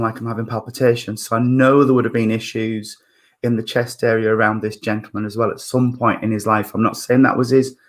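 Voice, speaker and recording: A man in his fifties with a husky voice, giving a spiritualist mediumship reading in a halting way, with many fillers and restarts as he thinks aloud. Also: lowish voice. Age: 50s.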